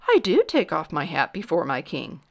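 Woman playing a timid storybook commoner, male, hushed and breathy, stammering, bewildered, deferential, lively pitch. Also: normal speed, full character voice. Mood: timid, polite. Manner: stammering, gasping, very polite.

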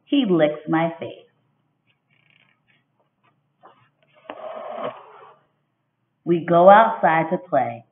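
A woman voicing a moody little girl who narrates a storybook, with expressive, emphatic delivery in a roomy recording. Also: deep voice. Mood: softening. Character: moody, dramatic little girl telling her miserable day. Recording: dull, roomy home webcam recording.

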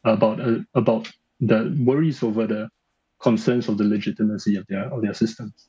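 A man in his thirties giving analysis in a news interview, speaking haltingly and repeating phrases as he searches for words. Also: fairly low pitch, steady tone, dark tone, husky. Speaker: analyst guest. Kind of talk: live news interview commentary. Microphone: video call. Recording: slightly dull video-call audio.

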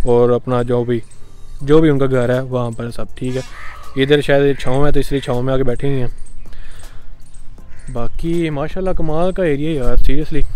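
A man's voice: on the high side, a little mellow. A young man in his twenties with a Pakistani Urdu accent, chatting casually to his phone camera outdoors on a farm, mixing English words and pious phrases into his talk. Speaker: vlogger. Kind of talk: casual outdoor travel vlog. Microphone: phone camera at arm's length.

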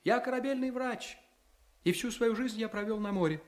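An actress playing a tiny, sensitive, polite male ship's doctor in a radio play, expressive and plaintive. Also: a little high, normal speed, close to an everyday voice. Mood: polite, wistful. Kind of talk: Russian radio play acting.

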